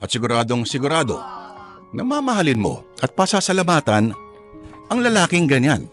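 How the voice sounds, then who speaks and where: A man hosts a radio drama program with a lively, swinging delivery at a middling pitch. He talks to listeners like a preacher, posing rhetorical questions as he sets up the story, with soft music underneath. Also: moderate pace. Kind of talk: conversational radio introduction to a drama. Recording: music behind the voice. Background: background music.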